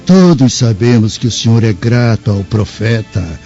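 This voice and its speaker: A man's voice: lowish voice, animated, a little slow, warm tone. An actor playing a humble, imploring old Samaritan man in a Brazilian radio drama, with a Brazilian Portuguese accent. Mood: pleading. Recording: dull lo-fi radio drama with music.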